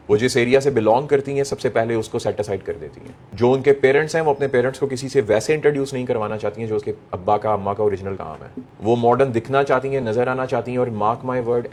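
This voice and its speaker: A middle-aged man with a Pakistani accent speaks as a motivational lecturer. His pitch sits in the middle of the male range. He drives points home with repetition and rhetorical questions, often slipping into English phrases. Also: a little mellow, slight background noise. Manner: mixes English phrases into speech. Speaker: motivational speaker addressing young people.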